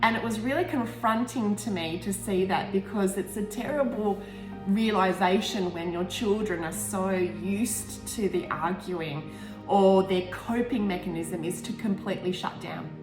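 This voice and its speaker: A woman's voice: medium pitch, unhurried. A woman in her forties with an Australian accent, chatting casually and candidly as an author reflecting on her own writing, often rambling and interrupting herself. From Tasmania, Australia. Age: 40s. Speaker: author reading and discussing own book. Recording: roomy echo, music behind. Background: music.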